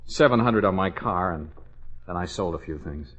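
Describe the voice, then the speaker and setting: A man's voice: sits low, lively intonation, moderate pace, fairly bright tone. An actor playing a hapless, anxious man sinking into money trouble, with rueful asides, in 1940s radio comedy-drama style. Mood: defeated, rueful. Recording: muffled vintage radio recording.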